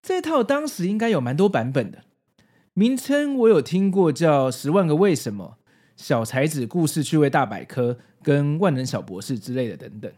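A man's voice: a little high, big pitch swings, normal speed, warm tone. A man with a Taiwanese Mandarin accent hosting a scripted, nostalgic retrospective in an explanatory, conversational way. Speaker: host of a retro pop-culture video series.

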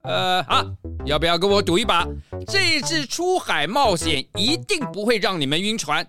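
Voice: medium pitch, very animated, moderate pace, over music. A performer playing a cocky, gambling-mad fox ship captain in a children's audio drama, goading and blustery.

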